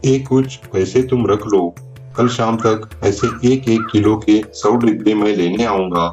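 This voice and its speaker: A man's voice: fairly low pitch, unhurried, darkish tone, soft background music, natural voice. A man voicing a polite, businesslike village merchant in a cartoon moral story. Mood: pleased, businesslike. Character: businesslike male village merchant buying goods.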